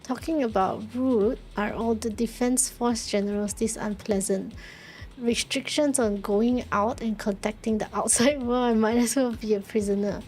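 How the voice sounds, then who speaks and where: A woman's voice: fairly high pitch, steady tone, moderate pace, darkish tone, poor audio. A woman livestreaming a story game, reading the text aloud and reacting to it. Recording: game music behind the voice. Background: game music.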